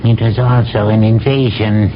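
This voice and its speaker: A man's voice: lowish voice, steady tone, normal speed, slight background noise, natural voice. An actor playing a stern, hostile male alien colonel who speaks in curt, commanding sentences in a 1970s radio drama. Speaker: military officer. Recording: dull old radio broadcast.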